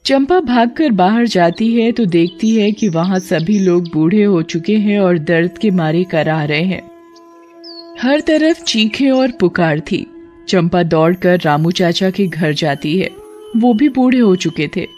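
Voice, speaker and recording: Slow measured woman narrator. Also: lowish voice, lively intonation, a little mellow. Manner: measured, storytelling. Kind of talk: scripted storybook narration. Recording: dull lo-fi audio, faint music.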